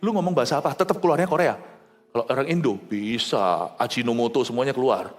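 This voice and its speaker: A male pastor preaching a sermon with fervour, swinging from loud exclamations to low quiet asides and mixing English words into his talk. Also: quick pace. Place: large rooftop church venue. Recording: live hall reverb with faint music.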